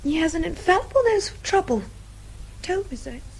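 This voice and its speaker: A woman's voice: very high-pitched, animated, fairly quick, slight background noise, poor audio. An actress playing an outspoken, earnest young Englishwoman with a British accent in a radio drama, well-spoken and polite. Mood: frank, annoyed.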